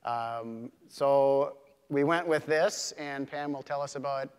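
A man's voice: medium pitch, takes it slowly, clear, resonant tone. Middle-aged American man in a reverberant hall. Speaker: police chief, panel host. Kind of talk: casual anecdotal introduction to an audience. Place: stage of an auditorium.

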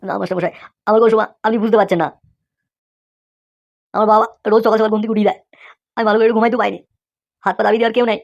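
A woman's voice: mid-range voice, fairly even intonation, very fast, creaky, pauses often, lo-fi sound, natural voice. A woman voicing a lazy, whiny schoolboy rascal in a comic cartoon, pleading and full of exclamations.

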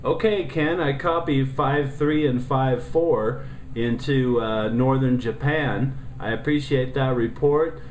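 American male radio hobbyist, courteous and measured, mid pitch, echoey room. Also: darkish tone. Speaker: amateur radio operator. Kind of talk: casual ham radio contact. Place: indoor room beside a transceiver. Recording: roomy sound with faint noise.

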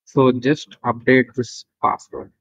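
A man with an Indian accent presenting an unscripted software walkthrough, halting and repetitive as he gives step-by-step instructions. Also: mid-range voice, level tone. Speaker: tutorial presenter. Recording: dull lo-fi voice recording.

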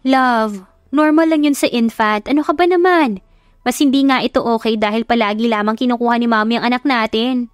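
Young wife for an audio drama, high and scolding, voiced by a woman narrator. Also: a little fast, smooth, dry recording, clean studio sound, close to an everyday voice. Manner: loud, scolding, teasing. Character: young wife, new mother, teasing.

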